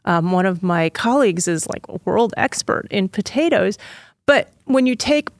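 A woman's voice: mid-range voice, animated, moderate pace, no room sound. Woman scientist explaining, dry close sound. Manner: patient, detailed explaining. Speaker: NASA plant scientist guest. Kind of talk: explanatory interview talk.

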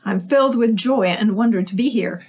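Female science officer, medium pitch, recorded crew question-and-answer. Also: normal speed, darkish tone, slightly rough. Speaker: science officer, analog mission crew member.

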